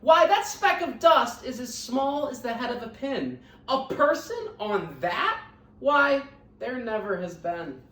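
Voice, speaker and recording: A woman's voice: on the high side, sing-song, slow pace, a bit bright, voice pushed up, full character voice. A woman voicing a sour, scornful kangaroo in a children's storybook, huffy and emphatic as she scoffs. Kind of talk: children's picture-book read-aloud. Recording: roomy echo, distant microphone.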